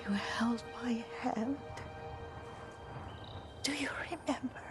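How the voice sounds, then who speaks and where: An actress playing a frail, devoted elderly Japanese woman in a game drama, breathy and weak, halting and wistful, often fading into a whisper. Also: on the high side. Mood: wistful, tender. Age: older. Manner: tender, halting, wandering. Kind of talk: dramatic video game acting. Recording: music behind the voice.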